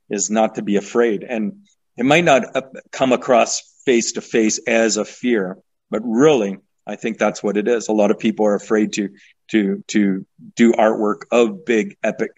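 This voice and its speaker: A man, a sculptor, talking in a halting, thoughtful way as a guest on a video call, often repeating words. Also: fairly low pitch, steady tone, a little mellow, dull audio. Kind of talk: casual interview over video call.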